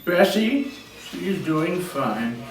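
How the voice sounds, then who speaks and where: A blunt, folksy old farmer, read by a man speaks deadpan at a table read, slightly high in pitch. The room is very echoey, with faint noise. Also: very slow, gravelly, recorded from a distance, heavily acted. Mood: plain, friendly. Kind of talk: script table read, amateur acting.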